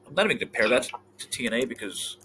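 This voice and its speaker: A man co-hosting a casual podcast chat, animated and swearing freely, often trailing off mid-thought. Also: mid-range voice, racing, slight background noise. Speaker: podcast co-host.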